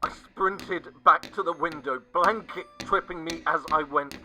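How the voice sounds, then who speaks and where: A man narrating a scripted first-person horror story, dramatic and sinking to hushed tones at the tense moments. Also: fairly high pitch, a little slow. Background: faint music.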